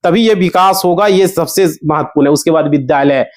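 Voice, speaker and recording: Male online explainer, Bihari accent, slightly high voice, chatty teaching style that keeps checking the listener follows. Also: brisk. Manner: conversational, repeatedly checks listeners follow with tag questions. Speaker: online explainer of land law for villagers. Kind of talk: informal explanatory talk to viewers.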